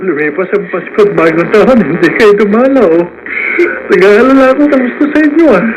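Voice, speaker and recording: A man playing an anguished, loving middle-aged jailed father in a Filipino radio drama, earnest and halting. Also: high voice, lightly acted. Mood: worried. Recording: noisy radio broadcast.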